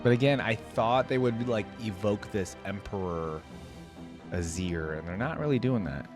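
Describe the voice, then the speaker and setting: A man's voice: fairly low pitch, expressive, normal speed. A man hosting a reaction video, giving casual commentary in an informal, rambling way as he thinks aloud. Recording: music playing behind the voice. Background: music.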